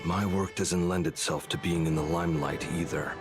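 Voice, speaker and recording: A reserved male guard from a video game, played by an actor, with a deep voice. He is terse and deadpan in a stoic manner. Music is clear in the background. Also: moderate pace, lightly acted. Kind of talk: scripted game voice acting.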